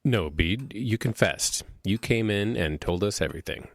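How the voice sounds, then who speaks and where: An actor playing a restrained, uneasy military officer in an audio drama, speaking in a measured, terse way. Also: male voice, fairly low pitch, animated. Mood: calm, placating. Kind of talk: audio horror drama acting.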